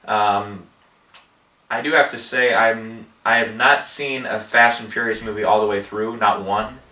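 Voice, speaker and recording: A man with a head cold rambling through movie commentary, halting with many fillers and restarts. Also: fairly low pitch, fairly even intonation, sharp tone, recorded from a distance. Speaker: movie box office vlogger. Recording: echoey room, voice off-mic.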